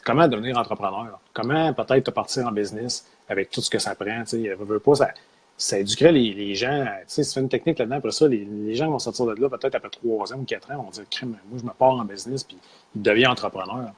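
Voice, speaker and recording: A man's voice: mid-range voice, fast talker, husky. A man with a Québécois French accent, co-hosting a casual discussion, hesitant and searching for words.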